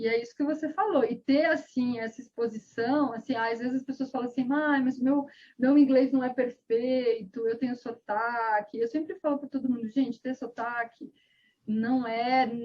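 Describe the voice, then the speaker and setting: A woman's voice: on the high side, a little slow, a little mellow, lo-fi sound. A woman guest on a video call interview, speaking earnestly about raising a bilingual child, with many fillers and restarts.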